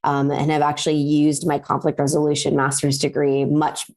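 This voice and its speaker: A woman's voice: low voice, completely flat delivery, normal speed, darkish tone. A woman with an American accent, a venture capital partner answering interview questions fluently, with frequent ums and restarts. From Pakistan.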